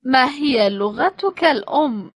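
A woman reading short phrasebook sentences slowly and clearly for language learners. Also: high voice, lively intonation, no room sound. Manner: slow, clearly spaced phrases. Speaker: phrasebook reader.

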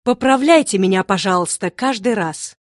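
A speaker reading short phrasebook sentences slowly and clearly for language learners. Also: mid-range voice, animated, dry recording, studio quality. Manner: slow, clearly spaced repetitions. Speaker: phrasebook reader.

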